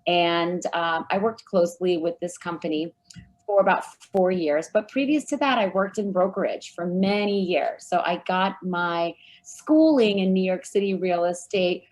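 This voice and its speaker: Lower-voiced woman guest, friendly. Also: a little mellow, low-quality recording. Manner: friendly, measured and fluent. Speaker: guest, recruiting firm founder. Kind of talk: interview answers.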